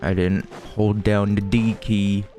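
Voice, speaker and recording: A man's voice: fairly low pitch, flat delivery, slow, dark tone, soft background music. A man giving offhand, wry, casual commentary as he plays a video game. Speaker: streamer playing a video game.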